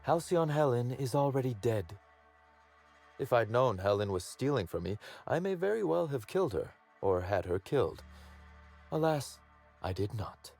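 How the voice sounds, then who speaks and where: An actor playing a polished underworld boss in a video game, speaking formally and articulately with wry menace. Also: male voice, mid-range voice, sing-song, moderate pace, gravelly, darkish tone, faint music behind. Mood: cold anger. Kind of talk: video game character dialogue.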